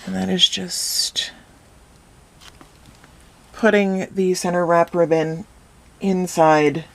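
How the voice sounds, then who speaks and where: A woman explaining a craft step by step in a calm, instructive way, her voice slightly hoarse from a cold. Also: lowish voice, slow pace. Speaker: craft tutorial host. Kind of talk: step-by-step craft tutorial voice-over.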